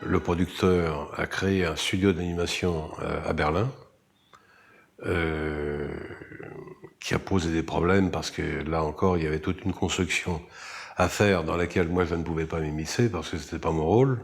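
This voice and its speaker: Male interviewee, very low voice, hesitant, reflecting on past work. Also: fairly even intonation, moderate pace. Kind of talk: recollections in an interview.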